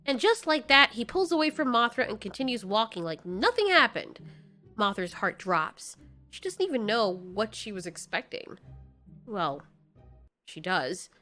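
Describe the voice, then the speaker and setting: A woman's voice: mid-range voice, very animated, measured pace, pushed and bright, soft background music. A woman storyteller giving dramatic scripted narration in an informal, candid way.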